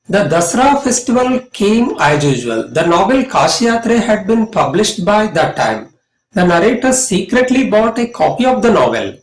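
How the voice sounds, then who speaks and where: A middle-aged man with an Indian accent narrates a lesson to students as a college English lecturer, in an emphatic, measured way. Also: high-pitched. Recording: echoey room sound.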